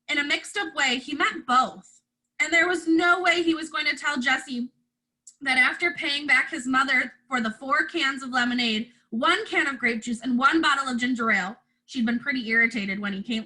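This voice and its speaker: A woman reading a children's chapter book aloud as narrator, in an expressive teacher's storytelling style. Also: high voice, low-quality recording.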